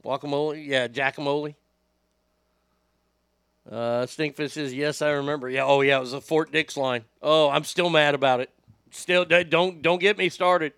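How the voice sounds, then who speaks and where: A middle-aged man with a high voice that lacks bass, telling profane, animated stories as a radio host. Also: moderate pace, smooth, no echo, studio quality. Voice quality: high voice without much bass. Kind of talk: casual storytelling podcast.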